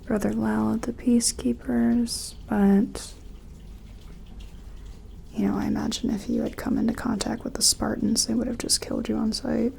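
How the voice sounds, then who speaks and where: A woman playing a timid, awed scientist in a sci-fi ASMR roleplay, whispering and murmuring gently with hesitant, musing phrasing. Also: a little high, level tone, normal speed, slight background noise. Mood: musing, wry. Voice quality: breathy whisper mixed with soft, creaky murmur.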